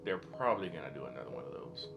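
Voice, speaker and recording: A man's voice: extremely low pitch, lively intonation, rapid-fire, a bit bright. A man co-hosting a podcast, chatting casually in a joking, slangy way. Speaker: podcast co-host. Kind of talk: casual banter about films and games. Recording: music clearly playing behind the voice.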